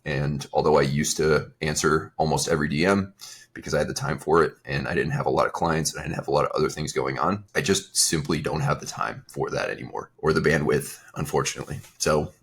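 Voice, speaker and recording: A young adult man hosting his own fitness podcast, talking in a relaxed, rambling way with a slightly raspy, strained voice. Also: a bass voice, brisk. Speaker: podcast host and fitness coach. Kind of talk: casual solo podcast talk.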